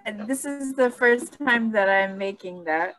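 A woman chatting on a video call, talking hesitantly with pauses. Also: medium pitch, a bit bright. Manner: hesitant, with fillers. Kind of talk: casual video call chat.

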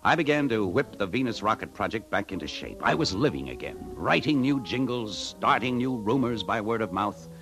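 A man's voice: fairly low pitch, animated, moderate pace, hardly any pauses, fairly bright tone, soft background music. An actor in a 1950s radio drama playing a weary, disillusioned advertising man who tells his story in a hardboiled, reflective way. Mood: reflective, uneasy. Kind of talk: 1950s radio drama acting and first-person narration.

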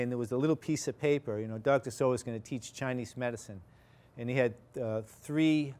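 An older man with a Boston accent, giving a casual guest lecture in a large hall and telling his story in a rambling way, full of restarts and fillers. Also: mid-range voice. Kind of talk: informal campus lecture.

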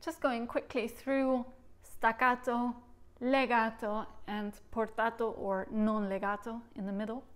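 Dutch woman teaching recorder, rather high voice. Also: little pitch movement, a little slow. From Netherlands. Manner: explains patiently and demonstrates syllables aloud with gestures. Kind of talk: demonstration lesson. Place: living room, seated on a sofa.

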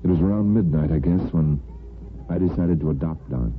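A man's voice: very deep voice, moderate pace. An American man playing an ardent, plain-spoken bachelor neighbor in an old-time radio soap opera, with earnest, folksy phrasing. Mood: grim, earnest. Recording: muffled vintage radio broadcast with music.

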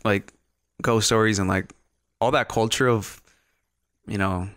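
A man's voice: fairly low pitch, fairly even intonation, unhurried, fairly bright tone, no room sound. A young man from Mexico with a Mexican accent, chatting casually as a podcast guest, close on a studio mic. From Ciudad Juarez, Mexico. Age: young adult. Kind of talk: casual chat. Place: small podcast studio, indoors. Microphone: close studio microphone, headphones.

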